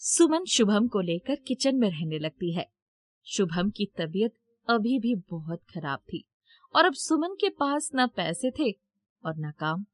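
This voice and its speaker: A woman's voice: mid-range voice, very animated, takes it slowly. Woman narrating a scripted moral story.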